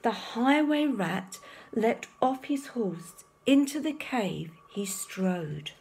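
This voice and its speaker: Slow-paced woman reading a children's story. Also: on the high side, expressive, creaky, a little mellow. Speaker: teacher reading aloud. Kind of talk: expressive children's storybook narration in rhyme.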